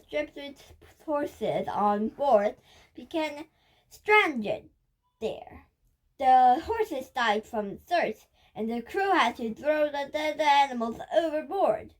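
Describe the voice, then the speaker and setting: A female child reads a book aloud in a high voice. She takes it slowly and carefully, word by word, with the odd halting stumble along the way. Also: low-quality recording. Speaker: reader of a children's nonfiction book.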